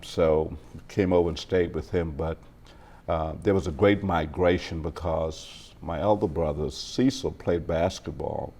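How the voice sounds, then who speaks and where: A middle-aged man from the Bahamas with a Bahamian accent, an attorney talking in a relaxed interview, hesitant with many ums and quick to laugh. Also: low-pitched.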